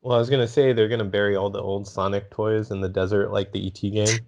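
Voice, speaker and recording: A man's voice: lowish voice, little pitch movement, fast, darkish tone, lo-fi sound. A man co-hosting a movie podcast, talking in a casual, conversational way.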